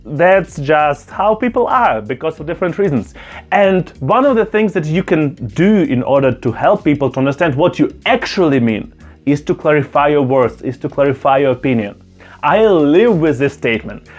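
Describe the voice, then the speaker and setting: A man, a non-native English speaker, speaks straight to the camera in a slightly raised voice. He is earnest and emphatic and repeats phrases to drive his point home. A little background music runs quietly under him. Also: on the high side, lively intonation, normal speed. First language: not German; Russian-speaking background. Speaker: YouTuber. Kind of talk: direct-to-camera opinion vlog. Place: indoors, talking to camera.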